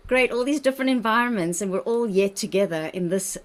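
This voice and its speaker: A woman's voice: mid-range voice, fast talker. A woman with a South African accent, a dietitian leading an online wellbeing workshop on a video call in an encouraging, chatty manner. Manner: warm, encouraging, chatty with laughs. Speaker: workshop presenter, registered dietitian.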